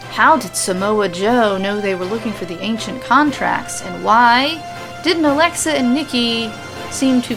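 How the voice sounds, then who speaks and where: A woman narrating a serial fan-fiction story as its host. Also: a little high. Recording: clearly noisy recording.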